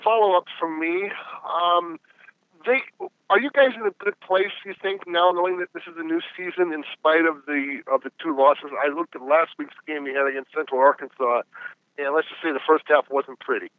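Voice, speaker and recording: A man's voice: fairly high pitch, normal speed, dry recording. A man working as a newspaper reporter, politely asking wordy questions on a press conference call. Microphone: telephone. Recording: phone-like line.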